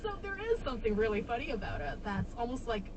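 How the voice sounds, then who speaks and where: A woman's voice: fairly high pitch, big pitch swings, fast, far from the mic, heavy background noise. A woman co-hosting a casual radio chat, talking playfully. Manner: playful, laughing often.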